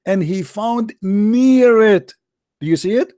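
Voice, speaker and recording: A man whose first language is Arabic presses his point hard in a heated debate. He talks over his caller and won't let go. His voice sits a little high and sounds dry and close, with no room echo. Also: slow pace, mellow. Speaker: host.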